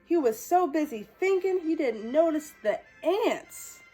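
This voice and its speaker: Storytime host, female: high, singsong range, unhurried pace, playful picture-book reading. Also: animated, dark tone, faint music behind. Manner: playful, exclamatory. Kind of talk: expressive children's picture-book reading with chatty asides.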